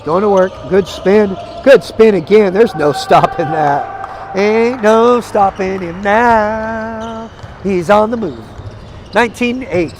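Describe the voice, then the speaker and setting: A hoarse man calling a live basketball game play by play, energetic and chatty, climbing into excited calls. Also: high-pitched, slow pace, a little hiss or hum. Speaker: play-by-play commentator. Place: arena broadcast position.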